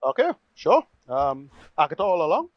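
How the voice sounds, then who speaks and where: A man chatting casually as a podcast panelist, haltingly, often repeating his words and phrases. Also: fairly high pitch, wildly swooping pitch, dry recording, muffled recording.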